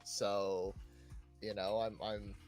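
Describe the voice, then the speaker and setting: A man's voice: fairly low pitch, flat delivery, slow, a little mellow, faint music behind. A man hosting a casual podcast chat, talking in a chatty way and often checking in with "you know" and "right". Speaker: podcast host.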